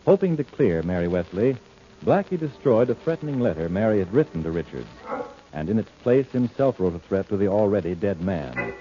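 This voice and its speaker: A male announcer of old radio drama. His voice is low and mellow with dramatic, lively swings, on a dull vintage recording over faint music. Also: brisk, a little hiss or hum. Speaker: announcer, narrator. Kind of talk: 1940s radio scripted narration.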